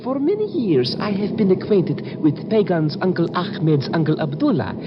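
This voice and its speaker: An actor playing an ingratiating, sly Middle Eastern merchant with flowery, over-polite, hesitant speech in an old radio drama. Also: male voice, sits high, sing-song, normal speed. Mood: ingratiating, courteous. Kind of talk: 1950s radio drama acting. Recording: muffled, very noisy old radio recording.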